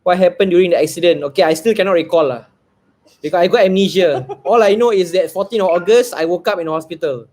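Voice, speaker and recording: High-pitched Singaporean man in his thirties. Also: fast talker, a little mellow. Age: 30s. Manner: colloquial, code-switching with Malay slang, often laughing. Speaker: livestream co-host, motorcycle vlogger. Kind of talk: casual live Q&A chat, reading out viewer comments.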